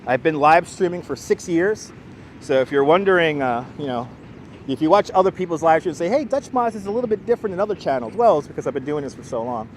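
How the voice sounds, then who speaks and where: A man with a Brooklyn New York accent, chatting casually to live-stream viewers as a local guide, speaking clearly and deliberately. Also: a little high, lively intonation. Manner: clear, deliberate enunciation, rambling. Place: outdoors on city streets by the seaside. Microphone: wireless clip-on mic. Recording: outdoor urban street noise.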